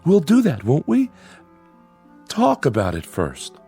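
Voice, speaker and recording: An actor playing an anxious middle-aged small-town father in a radio drama, folksy and halting, placating his feared son with forced cheer. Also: a little high, wildly swooping pitch, warm tone, husky, soft background music, full character voice. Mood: nervous, placating. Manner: folksy, rustic grammar.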